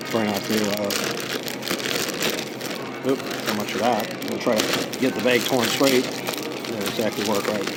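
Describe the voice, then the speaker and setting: A hoarse man vlogging in a casual, rambling way with many ums and uhs, recording on a small tripod camera inside a busy coffee shop. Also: medium pitch. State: hoarse from singing practice. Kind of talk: casual unboxing vlog. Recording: noisy coffee shop with music playing. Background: background music and cafe noise.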